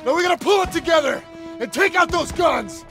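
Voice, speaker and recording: An actor playing a harsh, foul-mouthed American sergeant barking orders in a war video game. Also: male voice, very high voice, animated, a little fast, vocal fry, heavily acted. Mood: furious. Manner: shouted, harsh commands. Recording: loud music behind.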